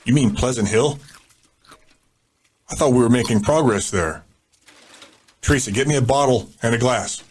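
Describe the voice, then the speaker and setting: A man's voice: medium pitch, normal speed, long pauses, breathy edge, lightly acted. A man playing a calculating warlord in an audio drama, giving orders in a curt, commanding way.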